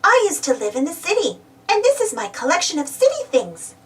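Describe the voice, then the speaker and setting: A woman's voice: very high-pitched, huge pitch swings, a little fast, distant mic, voice pushed up, exaggerated voice. A woman voicing a gleeful, childlike young male kitten in a children's storybook.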